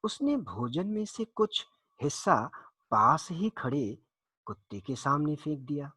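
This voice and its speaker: A man narrating a folktale for children in an expressive, deliberate storytelling manner with dramatic pauses. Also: on the high side, slightly rough, no echo.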